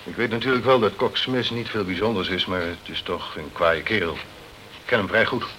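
A sceptical, blustering British consul, noisy recording. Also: male voice, lowish voice, normal speed, bright, forceful tone. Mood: wary. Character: sceptical, self-protective British consul in the French Antilles. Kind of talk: crime radio play acting.

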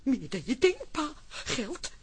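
An actress playing a fretful, frightened daughter in a comic Dutch radio play, whining and exclaiming. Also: female voice, high voice, big pitch swings, slow pace, husky, exaggerated voice. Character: panicky, superstitious daughter afraid of burglars. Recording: very noisy, dog barking behind.

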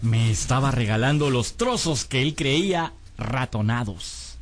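A man's voice: medium pitch, measured pace, a little hiss or hum, close to an everyday voice. An actor playing a hungry, crafty young servant boy who narrates his misadventures theatrically in a radio drama. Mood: wry storytelling. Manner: theatrical, expressive storytelling. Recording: studio radio drama with music bed.

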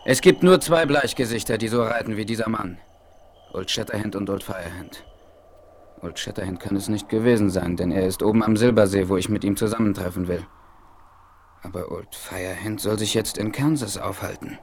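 A man acting a dignified Apache chief in a seventies radio western, with a lowish voice. His delivery is grave and formal, as if every sentence were a declaration. The old vinyl hisses and crackles. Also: brisk, lightly acted. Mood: solemn. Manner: formal, solemn, speaks in third person. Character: grave, dignified Apache chief, male, speaking of himself by name. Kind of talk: 1970s German radio play acting. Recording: hissy, crackly 1970s vinyl record.

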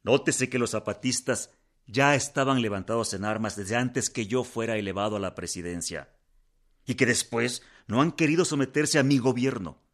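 An actor playing a stern president, delivering a firm, measured, formal political address in a radio drama. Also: male voice, mid-range voice, close to an everyday voice.

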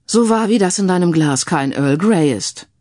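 A woman's voice: fairly low pitch, lively intonation, moderate pace, warm tone, dry recording. A woman reading the part of a keen younger male IT colleague in an audiobook, dry and matter-of-fact. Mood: wry. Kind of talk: audiobook character dialogue.

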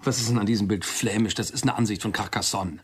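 A man's voice: fairly low pitch, fast, slightly rough. An actor playing a touchy, nervous, sardonic middle-aged man in a German radio drama, wry and hesitant, often repeating himself. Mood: mocking.

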